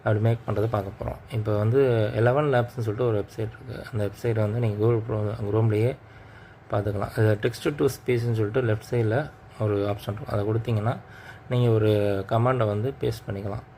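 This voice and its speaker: A man's voice: fairly low pitch, level tone, brisk, mellow. A man with a Tamil accent hosting a casual tech tutorial, explaining step by step and repeating himself. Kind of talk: casual screen tutorial narration. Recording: faint background noise.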